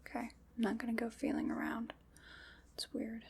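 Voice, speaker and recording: A woman voicing a calm, methodical skeleton armorer, whispering polite step-by-step instructions in an ASMR roleplay. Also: on the high side, normal speed, gravelly, slight background noise. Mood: gentle instructive.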